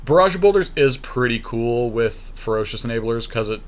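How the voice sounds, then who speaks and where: A man's voice: mid-range voice, animated, brisk. A man co-hosting a podcast, casually talking through card game picks with a friend in an informal, sweary way. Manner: informal, profane. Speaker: podcast co-host.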